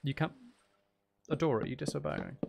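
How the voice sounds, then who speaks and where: A man's voice: a little high, lively intonation, normal speed, creaky, heavy background noise. A man chatting casually on a gaming livestream, thinking aloud as he builds and bantering playfully with viewers, with some mild swearing. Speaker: livestream host.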